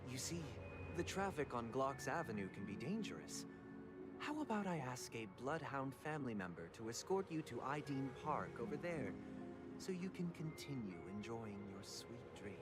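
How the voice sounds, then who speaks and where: A man playing a composed, courteous young gentleman leader in a video game, speaking in a polite, measured, formal way. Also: medium pitch, big pitch swings, natural voice. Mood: smooth, courteous. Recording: music playing over the voice.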